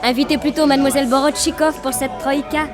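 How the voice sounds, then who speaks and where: Casting: actress for spirited twenty-year-old debutante, high-pitched, with loud music and chattering crowd behind. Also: female voice, fairly even intonation, fast talker, close to an everyday voice. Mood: pert, bright. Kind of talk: French theatrical radio acting.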